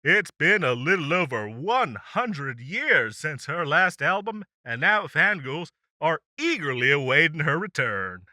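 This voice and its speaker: A man's voice: a little high, big pitch swings, measured pace, few pauses, sharp tone, no room sound, clean studio sound, exaggerated voice. A man playing an upbeat demon radio host, chatting through a chart countdown in showy, teasing announcer patter for an audio roleplay. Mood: excited, gossipy.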